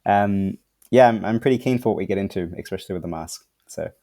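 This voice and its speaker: A man in his twenties chatting casually as a podcast guest, with a hesitant, halting delivery. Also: deep voice, dark tone, dry recording, clean studio sound. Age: 20s. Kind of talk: casual chat.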